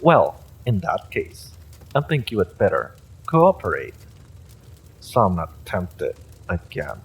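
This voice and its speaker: An actor playing an evil male sorcerer, smugly polite and sadistic, in a slow, theatrical audio drama full of menace. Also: low-pitched, wildly swooping pitch, breathy edge, exaggerated voice, rough recording. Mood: cold threatening. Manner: slow, deliberate, theatrical threatening delivery. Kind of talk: dramatic audio roleplay monologue.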